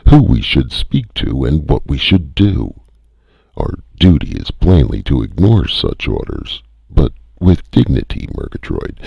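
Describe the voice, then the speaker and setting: A man's voice: rumbling low, gravelly, darkish tone, breathy edge, no room sound, full character voice. A man voicing a male ship's doctor who speaks carefully and reflectively. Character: calm, dignified male ship doctor.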